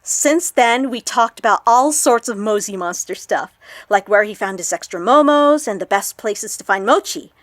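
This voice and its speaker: A woman playing an awkward, excitable young woman vlogger in an audio drama, chatty and expressive. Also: medium pitch, normal speed, no echo, close to an everyday voice. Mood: chatty, troubled. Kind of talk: audio drama acted as a confessional vlog.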